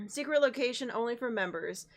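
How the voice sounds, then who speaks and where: A woman game streamer, hoarse and losing her voice, chatting casually and reading in-game notices aloud with frequent laughs and the odd swear. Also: on the high side, lively intonation, moderate pace.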